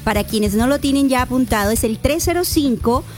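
Female radio co-host, clear delivery. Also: high-pitched, expressive, a little mellow. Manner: reads phone numbers slowly and clearly. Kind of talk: morning radio chat. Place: radio studio.

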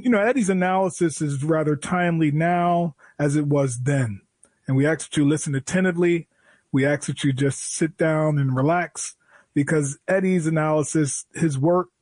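A man hosting a radio show speaks in a slightly high, earnest voice, drawing out his words as he announces a program. The studio sound is very dry and close. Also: darkish tone, no echo. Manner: earnest and slow, with drawn-out words. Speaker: radio host.

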